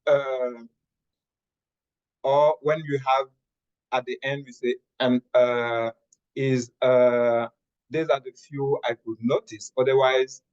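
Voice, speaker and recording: A man giving informal, halting feedback to a meeting as its evaluator. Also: mid-range voice, a little mellow. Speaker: grammarian, evaluator. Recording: dull lo-fi audio.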